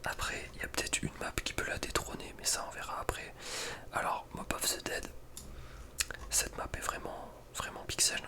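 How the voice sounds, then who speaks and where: A man delivers ASMR ranking commentary entirely in a whisper. He is close to the microphone and speaks informally, with plenty of slang and hesitant asides. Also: normal speed. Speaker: ASMR content creator.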